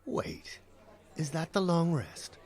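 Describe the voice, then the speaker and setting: A man's voice: fairly high pitch, takes it slowly, darkish tone. A man playing a hesitant but coaxing young bard in a comedy radio play. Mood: puzzled.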